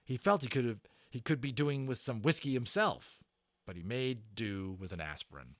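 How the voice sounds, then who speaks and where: Man giving an animated, dramatic story reading. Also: mid-range voice, dry recording, clean studio sound. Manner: theatrical, with stressed words and dramatic pauses. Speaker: audiobook narrator and channel host. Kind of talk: dramatic read-aloud storytelling of a children's novel.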